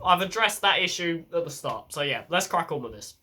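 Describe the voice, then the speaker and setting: A young man with a blocked, croaky voice from a head cold, talking bluntly and chattily as a self-help vlog host. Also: a little high, animated, brisk, bright, forceful tone. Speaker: channel host.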